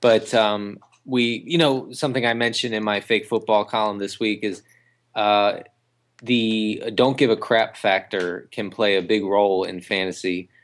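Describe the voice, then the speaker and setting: A man co-hosting a casual sports podcast, talking in a halting way full of ums and uhs, with dry jokes. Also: lowish voice, steady tone. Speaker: podcast co-host.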